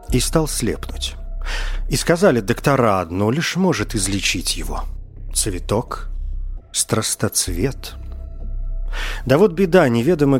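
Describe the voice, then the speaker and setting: A man tells a folk tale in an expressive, folksy manner. His middle-pitched voice rises and falls widely and is a little husky, over faint music. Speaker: storyteller. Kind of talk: scripted fairy-tale narration.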